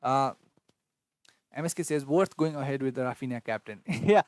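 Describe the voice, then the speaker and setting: A man's voice: mid-range voice, normal speed, a little mellow, no room sound, studio quality. A man, a Newar speaker, hosting a live fantasy football Q&A and reading chat messages with many fillers as he thinks aloud. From Nepal. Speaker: fantasy football livestream host.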